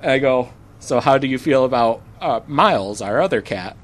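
A man's voice: medium pitch, expressive, moderate pace. A man guest-hosting a casual podcast, teasing his partner and laughing at his own jokes. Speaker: guest co-host.

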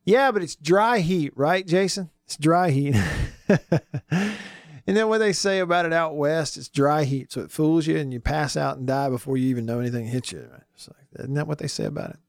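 A man hosting a sports radio talk show from a studio, hoarse today, chatty and jokey. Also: on the high side, expressive, normal speed, no echo. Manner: chatty, jokey, lots of fillers.

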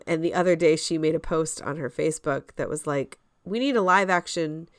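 A woman's voice: low-pitched, no echo, studio quality. A woman co-hosting a podcast in casual conversation, sharing opinions in an informal, fannish way. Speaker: podcast co-host. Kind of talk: casual chat about musical theatre.

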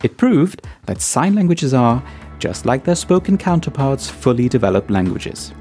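A man hosting a podcast, giving scripted narration in a measured, explanatory way. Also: mid-range voice, big pitch swings, a little mellow, soft background music. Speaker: podcast host.

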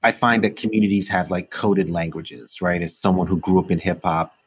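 A man hosting a podcast, chatting in a relaxed way and laughing often. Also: deep voice, brisk, husky. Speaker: podcast host. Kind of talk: casual interview chat.

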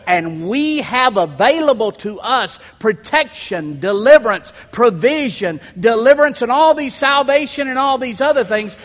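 A man preaches with a high, bright, ringing voice that swells into shouts and then falls to a near hush. He mixes scripture reading with folksy jokes. A long hall reverb trails every phrase. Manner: builds to shouting crescendos, then drops low; folksy, humorous asides. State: sweating and worked up. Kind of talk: sermon.

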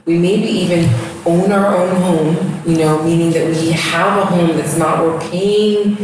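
Deep-voiced woman in an echoey room. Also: steady tone, a little slow. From Ghana, raised in the US. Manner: reflective, rambling with fillers. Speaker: guest, yoga studio founder. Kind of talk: casual interview talk. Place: home. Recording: echoey room, distant mic, background noise.

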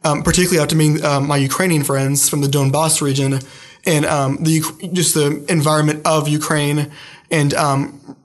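A young man with a slightly high but flat voice, speaking haltingly and filling pauses with little hesitations. He sounds like a student chatting through an interview, circling back and restarting his thoughts. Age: young adult. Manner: hesitant, many fillers and restarts. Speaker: student guest. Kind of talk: casual interview.

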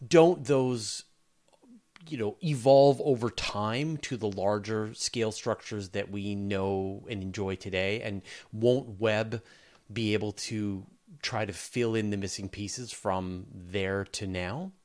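A man with a fairly low voice acts as the interviewer. He speaks conversationally about science, framing questions for a guest. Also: fairly even intonation, slow pace, a little mellow. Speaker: interview host.

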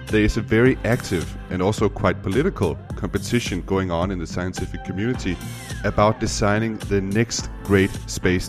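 Casting: deep-voiced Danish-native podcast host, hesitant, lots of uhs. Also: male voice. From Denmark. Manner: hesitant, many uh fillers. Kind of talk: interview podcast.

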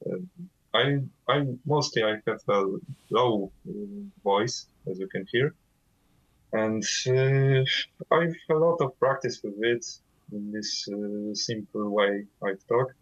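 A man from Poland with a Polish accent, guest vocalist of a death metal band, speaking halting non-native English with many fillers over a call. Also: fairly low pitch, highs cut off.

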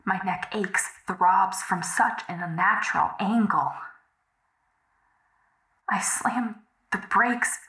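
An actress playing a tormented, fearful young woman in a supernatural audio drama, speaking in halting, hushed dramatic phrases. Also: mid-range voice. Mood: haunted, fearful. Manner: halting, breathy half-whispered, dramatic delivery. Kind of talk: found-footage horror audio drama monologue. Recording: echoey, roomy sound.

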